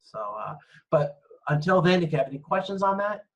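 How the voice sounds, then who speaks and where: A man's voice: on the high side, big pitch swings, a little fast, a bit bright. A man lecturing informally over slides, explaining things in a conversational way and often checking in. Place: online lecture with screen-shared slides.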